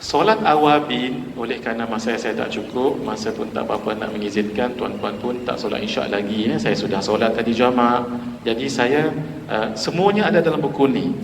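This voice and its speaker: A man in his forties with a Malaysian Malay accent, giving a lively sermon in a mosque and putting questions to the audience. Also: mid-range voice, brisk, slight background noise. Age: 40s. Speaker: preacher giving a mosque lecture. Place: mosque hall. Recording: echoey hall reverb.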